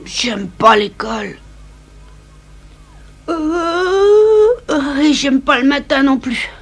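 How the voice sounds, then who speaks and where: Whiny little cartoon boy, high voice, scheming and mischievous. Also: expressive, very slow, faint music behind, heavily acted. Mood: grumbling, scheming. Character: mischievous young schoolboy, reluctant and scheming. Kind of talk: French dubbed cartoon acting.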